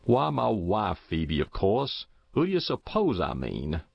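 A man's voice: lowish voice, huge pitch swings, a little slow, vocal fry, dry recording, exaggerated voice. A man voicing a thin, confused old farmer, eager and lost, with old rural speech. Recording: dull muffled dry recording.

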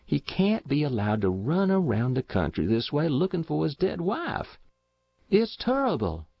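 A man's voice: on the high side, huge pitch swings, a little slow, vocal fry, no echo, pitched above the performer's own voice, exaggerated voice. A man voicing a stout, kindly old countrywoman who fusses over a guest, with a twangy rural way of talking. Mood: fussing. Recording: dull muffled dry recording.

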